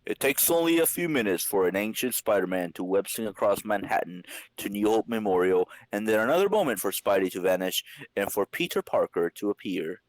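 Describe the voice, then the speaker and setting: A man's voice: on the low side, expressive, brisk, no room sound, low-quality recording. A man narrating an amateur dramatic reading of a comic book, reading out scripted lines.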